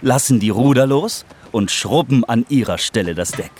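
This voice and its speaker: A man's voice: medium pitch, expressive, normal speed, darkish tone, a little hiss or hum, natural voice. A man narrating a scripted children's adventure story over music. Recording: music under the voice.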